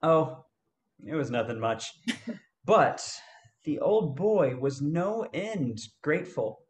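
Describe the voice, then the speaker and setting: A man playing an easygoing gold-hunting adventurer in a radio play, telling his story in a halting way. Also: fairly high pitch, very animated. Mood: amused storytelling.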